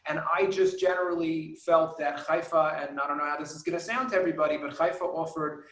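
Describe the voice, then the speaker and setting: A man's voice: on the high side, fast, fairly bright tone, echoey room, poor audio. Earnest New man on a video call. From New York. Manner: apologetic, wordy and earnest. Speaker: guest immigrant parent. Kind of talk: webinar talk.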